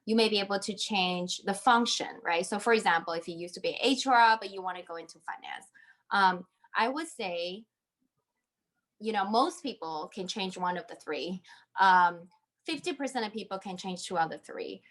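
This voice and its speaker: Woman in her 30s, medium pitch, chatting advice as a guest on a video call. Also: moderate pace, a bit bright. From Taiwan. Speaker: interview guest, MBA graduate. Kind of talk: conversational advice. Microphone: video call microphone.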